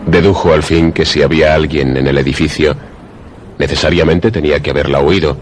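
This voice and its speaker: A man narrating a suspense radio drama in a measured, literary storytelling manner. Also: rumbling low. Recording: prominent music behind the voice. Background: music.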